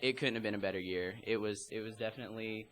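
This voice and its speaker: A young man serving as student director, speaking with earnest pride. His voice sits a little low but sounds bright and forward. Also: fast talker. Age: young adult. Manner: earnest, proud. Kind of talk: casual interview.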